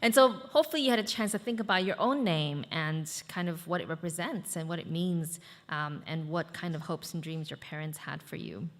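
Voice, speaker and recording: A woman's voice: low voice, brisk, in a large hall. A woman in her thirties, from the United States, preaching a sermon as pastor in a conversational way, with fillers and asides to the congregation. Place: church hall.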